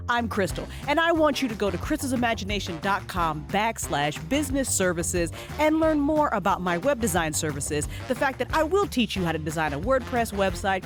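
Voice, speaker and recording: A woman delivers a chatty ad over clear music. Her pitch is fairly high and swings widely as she playfully scolds and bosses the listener. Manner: bossy, comic scolding. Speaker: web designer advertising own services. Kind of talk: conversational ad read.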